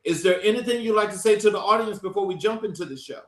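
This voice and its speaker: A man's voice: high voice, quick pace, a bit bright, reverberant. A man hosting an interview show, opening with dramatic, emphatic lines and asking heartfelt questions. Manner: dramatic, emphatic intro delivery. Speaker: show host.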